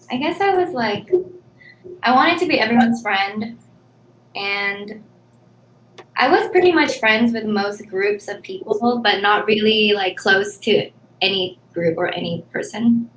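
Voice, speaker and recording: A woman in her twenties, from the Philippines, answering interview questions softly and hesitantly, with many pauses and ums. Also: medium pitch, animated. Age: 20s. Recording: echoey room, faint background noise.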